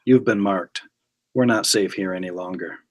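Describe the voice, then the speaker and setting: A man reading the part of a guarded, gruff, suspicious male agent, with blunt, terse, dry retorts. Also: low-pitched, normal speed. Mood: grim.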